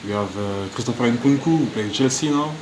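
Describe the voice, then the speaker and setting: A man from France answering press questions in halting, searching English, restarting his sentences often. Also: medium pitch, noisy background. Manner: halting non-native English, frequent restarts. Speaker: professional footballer. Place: media room.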